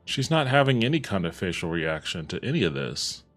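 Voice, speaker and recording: Male game streamer, lower register, off-the-cuff and casually profane, faint music underneath. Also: very animated, brisk, fairly bright tone. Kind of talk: casual livestream chat while gaming.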